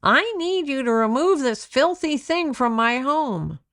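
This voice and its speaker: A woman's voice: sits high, sing-song, a little slow, fairly bright tone, no room sound, studio quality, pitched above the performer's own voice, exaggerated voice. A woman voicing a sour, disgusted landlady in a children's storybook, snappish and indignant. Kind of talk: children's storybook read-aloud.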